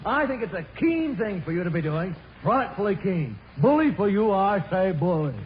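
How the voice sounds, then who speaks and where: A man's voice: sits high, very animated, normal speed. An actor playing an eager, oblivious upper-crust fop in a 1930s radio comedy, effusive and stammering keenly as he repeats pet phrases. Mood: gushing. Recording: muffled, hissy old radio broadcast.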